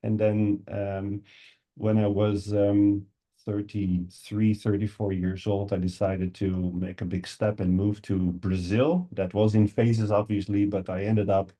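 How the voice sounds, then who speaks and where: A Dutch-accented man with a lowish, warm, mellow voice. He answers interview questions thoughtfully, filling his pauses with ums and uhs. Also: flat delivery. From Netherlands. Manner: hesitant, many ums and uhs. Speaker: guest English teacher. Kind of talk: podcast interview.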